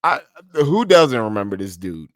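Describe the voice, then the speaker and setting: A man's voice: medium pitch, big pitch swings, moderate pace, no room sound, studio quality, faint music behind. A man with a congested nose giving casual, slangy reaction commentary as host of a video.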